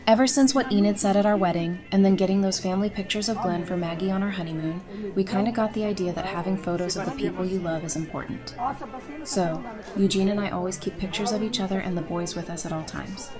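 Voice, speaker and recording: Mid-pitched female voice, acted young mother, warm, blunt, teasing, conversational audio drama, music behind. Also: steady tone, quick pace, lightly acted. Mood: fond teasing.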